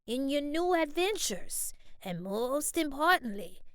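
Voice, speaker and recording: A woman voicing a gentle elderly grandfather who speaks softly and slowly in a storybook reading. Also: high voice, big pitch swings, no echo, studio quality. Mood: reassuring. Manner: soft, slow and reassuring.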